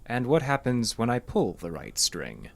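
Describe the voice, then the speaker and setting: An actor in an audio drama playing a composed, probing male psychotherapist with a measured, dry, clinical manner. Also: mid-range voice, wildly swooping pitch, vocal fry, full character voice. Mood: calm, probing.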